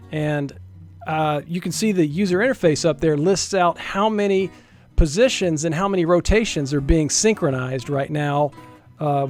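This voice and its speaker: A man presenting a software demo as a developer, talking viewers through it step by step in an informal, folksy way. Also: sits high. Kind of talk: casual screen-share tech demo narration.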